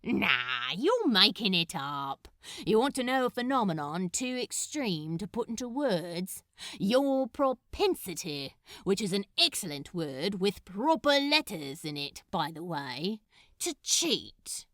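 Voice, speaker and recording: Woman voicing a slow, drawling, teasing male demon. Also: medium pitch, very animated, fairly bright tone, no echo, clean studio sound. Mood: mock-indignant. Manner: casual, drawling, teasing banter. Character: teasing, grumbling male demon, casual and sly.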